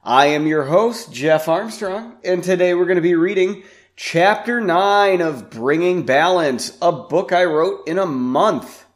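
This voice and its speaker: A man, raspy and congested from a sinus infection, hosting a podcast in a rambling, self-deprecating way. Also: sits high, animated, measured pace. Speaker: podcast host. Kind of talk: casual podcast chat.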